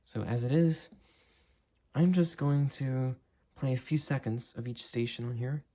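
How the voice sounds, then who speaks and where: A young man in his late teens giving a casual, unscripted product demo in a halting, understated way. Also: medium pitch, mellow. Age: late teens, young adult. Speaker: hobbyist reviewer. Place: indoor room at a desk.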